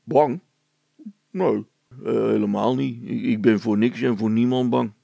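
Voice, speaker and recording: A timid village policeman from a storybook as a man acts him out, hesitant and mumbling. His voice is creaky and husky as he tries to pass for brave. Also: medium pitch, steady tone, unhurried, dark tone, no echo.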